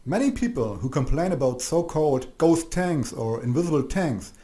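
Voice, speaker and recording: A man with a slightly high-pitched voice narrates an instructional video. He reads from a script in a measured, didactic way, speaking straight to the listener. The voice-over sounds roomy, with noticeable echo. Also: very animated, dark tone.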